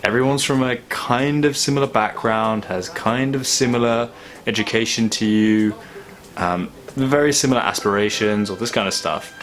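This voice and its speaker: A young man talking casually and reflectively in a vlog, thoughtful and halting with long pauses. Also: medium pitch, a bit bright. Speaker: vlogger.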